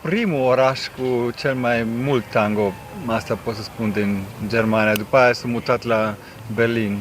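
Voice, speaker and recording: Man, fifties, Romanian accent, halting interview answers, mid-pitched, noisy ambient recording. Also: fairly even intonation. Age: 50s. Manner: halting, searching for words. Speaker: tango teacher, interview guest. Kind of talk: interview answers, switching between two languages.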